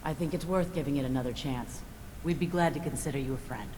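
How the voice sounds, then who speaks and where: A woman playing a wary but grateful settler in a video game, speaking in a measured, conciliatory way. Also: very deep voice, in a large hall, background noise. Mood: guarded, grateful. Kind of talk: video game dialogue acting.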